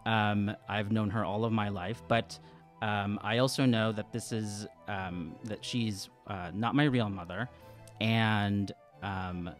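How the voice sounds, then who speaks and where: A man improvising his character's story as a player in a tabletop game, hesitant and halting as he thinks aloud. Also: lowish voice, steady tone, faint music behind. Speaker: tabletop role-playing player.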